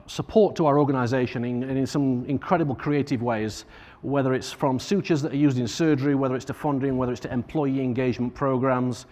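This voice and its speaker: A man's voice: mid-range voice, a little mellow. Northern English man speaking in a reverberant hall. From Northern England. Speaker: charity corporate partnerships manager giving a keynote. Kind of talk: conference keynote speech to an audience. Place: large conference room, on stage.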